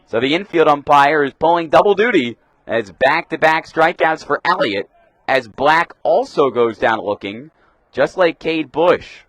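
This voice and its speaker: A young man calling live baseball play-by-play for radio in a crisp announcer delivery, punching up the pitch calls. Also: lively intonation, unhurried, fairly bright tone, dry recording. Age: young adult. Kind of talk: live sports commentary.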